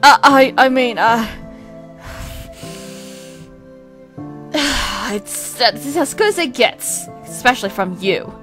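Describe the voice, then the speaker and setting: A woman's voice: a little high, lively intonation, measured pace, over music. A woman voicing a proud, prim male twin in an amateur comic dub, haughty and theatrical but grudgingly kind. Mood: flustered.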